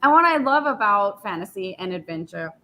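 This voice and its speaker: A woman's voice: mid-range voice, sing-song, brisk, pushed and bright, poor audio. A woman in her thirties from India, an author chatting on a panel, enthusiastic and thoughtful with frequent "like" and "um". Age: 30s. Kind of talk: casual panel talk.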